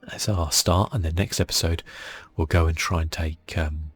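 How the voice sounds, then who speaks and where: A man speaking softly and casually, thinking aloud as he gives ASMR commentary on a video game. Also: sits low, fairly even intonation, moderate pace, faint music behind. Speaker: gaming channel host.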